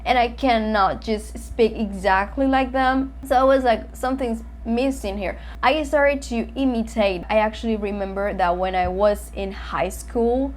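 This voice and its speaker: A woman's voice: on the high side, unhurried, hardly any pauses. Young Venezuelan woman telling stories, noisy recording. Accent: Venezuelan Spanish. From Maracaibo, Venezuela. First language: Spanish. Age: late 20s. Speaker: English teacher and video host. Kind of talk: casual storytelling for language learners.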